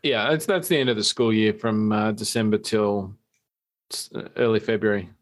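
An Australian man co-hosting a podcast, chatting casually with dry jokes and a halting delivery. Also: on the low side, steady tone. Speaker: podcast co-host. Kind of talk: casual chat.

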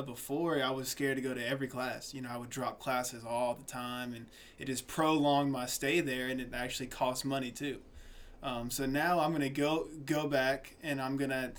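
A young man in his twenties with a mid-pitched voice answers interview questions. He speaks earnestly and often repeats words or uses fillers. His tone is casual. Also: a bit bright. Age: 20s. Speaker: guest.

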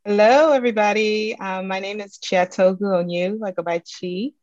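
A woman's voice: medium pitch, steady tone, moderate pace, darkish tone, dry recording. A woman scientist introducing herself carefully on a video call panel, correcting herself and using many fillers. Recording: dull, dry video-call audio.

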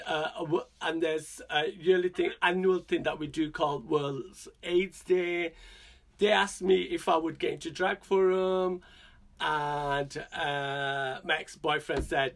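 A man's voice: a little high, vocal fry, fairly bright tone. A man in his thirties, a guest drag performer chatting casually with fillers and giggles. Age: 30s. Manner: giggly, self-deprecating, halting with fillers. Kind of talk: casual interview chat.

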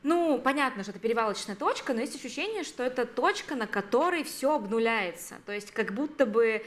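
A woman of thirty co-hosting a podcast in casual chat, lively and acting out quotes. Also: a little fast. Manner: lively, acts out quotes in a high voice. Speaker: podcast co-host.

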